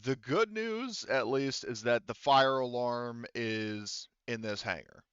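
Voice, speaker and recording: A man running a tabletop roleplaying game as game master, explaining things casually in a halting way. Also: medium pitch, lively intonation, fairly bright tone, clear, resonant tone, dry recording, muffled recording.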